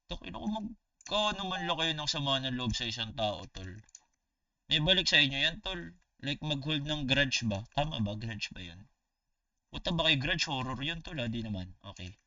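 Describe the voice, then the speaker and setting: Man, Filipino accent, bright forceful voice, slangy casual streamer, sweary storytelling, dull lo-fi sound. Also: medium pitch, normal speed, dry recording, far from the mic. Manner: animated, slangy, swearing, talking to viewers. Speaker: gaming streamer. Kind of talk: casual storytelling livestream chat.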